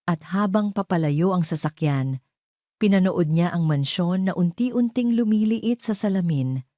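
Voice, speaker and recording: A woman narrating a scripted romance drama as a storyteller, in a measured, solemn manner. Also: low voice, mellow, dry recording, clean studio sound.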